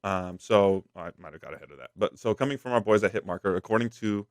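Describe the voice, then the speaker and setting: A man's voice: fairly low pitch, fast talker, no echo, studio quality. A man co-hosting a podcast, talking through gaming news in a casual, slangy, rambling way. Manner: rambling, slangy, frequent "like" and "dude". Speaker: podcast co-host.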